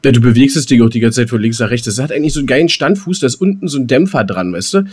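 A man's voice: medium pitch, lively intonation, rapid-fire, darkish tone, slightly rough. A man co-hosting a podcast in casual banter, teasing and often restarting his phrases. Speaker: podcast co-host. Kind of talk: casual banter between friends.

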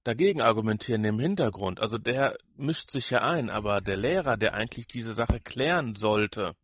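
A man's voice: on the low side, animated, normal speed, a bit bright, husky, no room sound, studio quality. A man co-hosting a podcast in loose, casual chat, often repeating words as he works toward his point. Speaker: podcast co-host. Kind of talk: casual chat reviewing an audio drama.

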